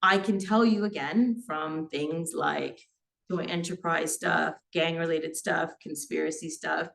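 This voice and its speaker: A woman's voice: low-pitched, steady tone, a little slow, vocal fry, a bit bright, a little hiss or hum. Earnest woman barrister, distant mic. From Sri Lanka. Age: 40s. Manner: unscripted, many fillers and restarts, earnest. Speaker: criminal barrister. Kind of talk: seminar talk. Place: seminar room.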